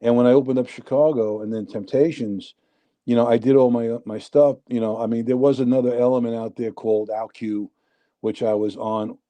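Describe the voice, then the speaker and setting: A man's voice: medium pitch, brisk. A man in his sixties with a New York accent, telling rambling stories as a guest in a casual talk. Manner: rambling, animated storyteller. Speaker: interview guest. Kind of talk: casual storytelling.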